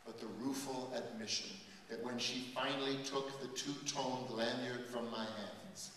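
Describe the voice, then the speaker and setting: A man performing spoken-word poetry for students, dramatic, swinging between loud emphasis and hushed lines. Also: medium pitch, steady tone, measured pace, slight background noise. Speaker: performance poet. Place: large school hall with a live audience. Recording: echoey hall recorded from far off.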